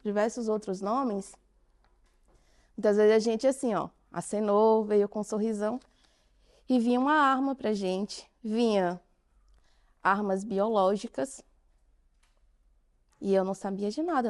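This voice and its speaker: A woman with a Brazilian Portuguese accent giving an interactive conference talk, questioning the audience and speaking with emphatic pauses. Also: medium pitch, vocal fry, darkish tone.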